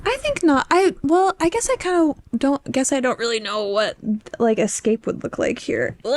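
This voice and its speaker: A young woman co-hosting a podcast, chatting casually and haltingly, with lots of informal asides and restarts. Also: sits high, animated. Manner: informal, halting, filler-heavy "like". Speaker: podcast host. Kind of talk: casual, chatty book discussion.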